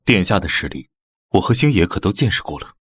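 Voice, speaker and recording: A man's voice: low-pitched, big pitch swings, fast talker, husky, no echo, exaggerated voice. A man playing a composed, reassuring and devoted companion of a princess in a fantasy drama. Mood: calm. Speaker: voice actor in a fantasy short drama.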